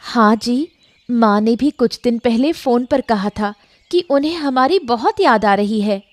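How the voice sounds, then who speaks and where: A woman playing a gentle, dutiful young wife in an acted story, speaking softly and deferentially to her husband. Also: on the high side, a little slow, no room sound, close to an everyday voice. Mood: pleased, dutiful. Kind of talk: acted Hindi audio story dialogue.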